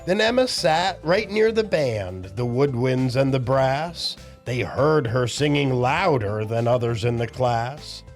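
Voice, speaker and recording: A man's voice: mid-range voice, expressive, slow, hardly any pauses, soft background music. An older man hosting a children's storytime, reading rhymes and chatting directly to young listeners in a theatrical, cheery way. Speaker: children's storytime host and entertainer. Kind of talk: rhyming read-aloud narration and cheery chat to children.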